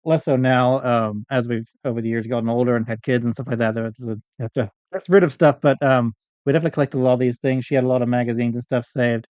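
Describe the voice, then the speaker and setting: A man's voice: mid-range voice, darkish tone, no echo. A middle-aged man speaking as a podcast guest in a casual, rambling, reminiscing chat. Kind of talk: casual interview chat about fandom and collecting.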